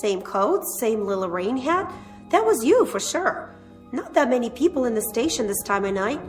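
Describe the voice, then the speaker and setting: A woman's voice: on the high side, very animated, normal speed, soft background music. An actress playing a kindly, folksy cleaning woman in a radio drama, plainspoken, motherly and reassuring. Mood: certain, matter-of-fact. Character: kindly, folksy female cleaning lady at bus station.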